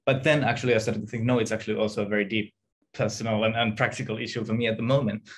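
A man's voice: fairly low pitch, fairly even intonation, racing, a little hiss or hum. A man, thinking aloud and often rephrasing as he puts a question in an academic seminar. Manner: reflective, hesitant, rephrasing often. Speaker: academic, audience member asking a question. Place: indoor room on a video call. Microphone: computer or headset mic on video call.

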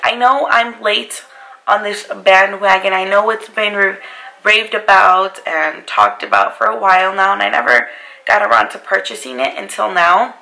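A woman in her twenties with a hoarse, raspy voice from a cold, chatting casually through a makeup review at her vanity. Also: medium pitch, fairly even intonation, moderate pace, bright, forceful tone, poor audio. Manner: chatty, apologetic about her voice. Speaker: beauty vlogger. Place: bedroom vanity, indoors.

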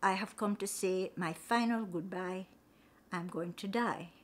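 A woman's voice: mid-range voice, unhurried, close to an everyday voice. A woman voicing a kind-hearted male rabbit in a folktale, earnest and pleading. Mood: glum.

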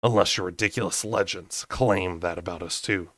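A man voicing a reserved male fae lord in a storybook, measured and gentle. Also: low voice, fairly even intonation, no room sound, studio quality. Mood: assertive. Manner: measured, soft-spoken.

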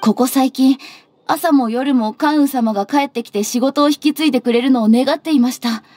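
An actress playing an overworked, weary young secretary in an anime game, deferential and pleading. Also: female voice, high voice, moderate pace, dark tone, close to an everyday voice.

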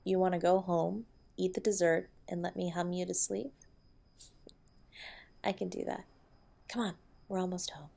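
A woman's voice: lowish voice, steady tone, normal speed, vocal fry, long pauses, mellow. A woman playing a tender, protective wife in an intimate audio roleplay, soothing and affectionate as she comforts her husband. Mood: soothing, caring.

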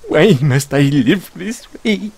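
A man voicing a bitter, tormented frog mascot in an audio roleplay, with a halting, tearful, self-pitying delivery. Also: on the high side, lively intonation, gravelly, darkish tone, breathy edge, heavily acted. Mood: anguished, defensive. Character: weepy, bitter, tormented frog mascot haunting an abandoned amusement park. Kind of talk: audio roleplay monologue with mournful sung counting rhyme.

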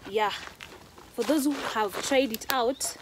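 A woman's voice: on the high side, slow pace. A young woman with an East African accent casually demonstrates cooking for a vlog, recorded outdoors. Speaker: cooking vlogger. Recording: noisy outdoor phone recording.

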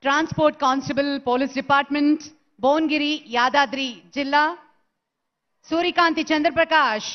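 A woman announcing recruits' names one by one at an award ceremony, in a slow, measured way. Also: high-pitched, steady tone. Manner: slow, measured name-calling into a PA. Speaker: event announcer. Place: large stadium ceremony. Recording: dull lo-fi broadcast sound.

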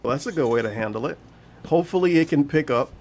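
A man's voice: a little high, animated, fast, darkish tone. A man giving teasing running commentary over a stream, laughing often and reading chat aloud.

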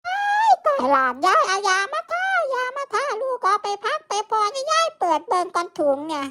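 A man's voice: extremely high pitch, big pitch swings, a little fast, pushed and bright, faint music behind, voice pushed up, exaggerated voice. A man voicing a doting, exasperated old village grandmother with an Isan accent, exclaiming and scolding in a folktale. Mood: worried, fussing. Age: older. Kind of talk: folktale character voice.

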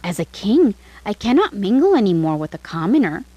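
A woman voicing a proud young royal with an uneasy conscience in a storybook, deliberate and self-justifying. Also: medium pitch, sing-song, slightly rough, no echo, dull audio. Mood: dismissive.

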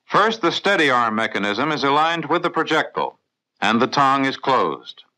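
Bright, forceful American male training-film narrator. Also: medium pitch, sing-song, normal speed, poor audio. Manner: clear, methodical, authoritative explaining. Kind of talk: scripted mid-century instructional film narration. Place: voice-over recorded in a studio.

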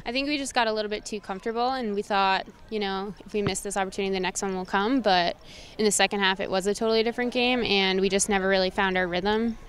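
A woman's voice: medium pitch, fairly even intonation, fast, fairly bright tone. A woman in her twenties, a soccer player giving a post-game interview outdoors into a handheld mic. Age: 20s. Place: outdoor stadium at night. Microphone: handheld interview microphone.

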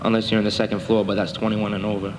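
A man's voice: on the low side, flat delivery, very fast. A young man speaking as a defendant in court, rambling and defensive, with many fillers. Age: young adult. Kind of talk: testimony in court. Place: municipal courtroom. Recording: echoey courtroom, faint noise.

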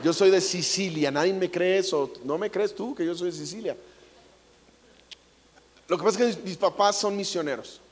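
A man's voice: high-pitched, moderate pace, pauses often. Mexican guy preaching on a big stage, playful, lots of jokes, echoey hall. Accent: Mexican Spanish. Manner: playful, jokey, acts out quotes. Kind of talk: comedic stage sermon. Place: large theater stage. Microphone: handheld mic. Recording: long hall reverb.

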